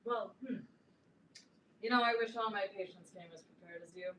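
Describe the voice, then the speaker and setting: A woman playing a kindly, slightly awkward adult dentist with a hesitant, gently joking way of talking, in a staged reading. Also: medium pitch, normal speed, lightly acted. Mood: amiable. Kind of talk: staged reading of a comedic play. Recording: echoey room, distant mic, clear noise.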